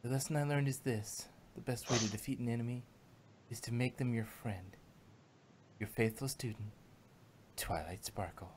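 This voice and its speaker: A woman's voice: very deep voice, normal speed, a little mellow, breathy edge, pitched below the performer's own voice. Studious cartoon pony mare voiced by a woman, reflective, kindly and sincere, for an amateur story reading. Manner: earnest, reflective. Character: studious young female pony princess, earnest and kindly. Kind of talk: fan fiction character reading.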